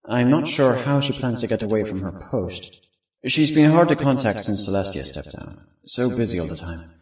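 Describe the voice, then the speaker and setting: A man playing a weary, earnest pony spy recording an audio journal in a measured, wistful way for an audio drama. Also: on the low side, sing-song, a little mellow. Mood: somber, wistful. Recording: roomy, distant-miked journal recording.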